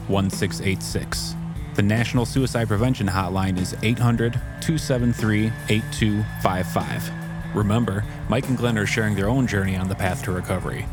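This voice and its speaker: Guy reading a podcast outro script, kinda low voice, music playing behind him. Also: steady tone, normal speed. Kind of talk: scripted announcement. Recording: voice over prominent music bed.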